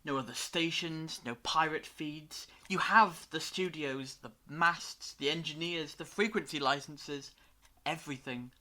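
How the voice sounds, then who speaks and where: A woman playing a brainy time-travelling alien in a fan audio drama, lecturing gravely in deliberate monologues with dramatic pauses. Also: sits low, bright, forceful tone. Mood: explaining intently.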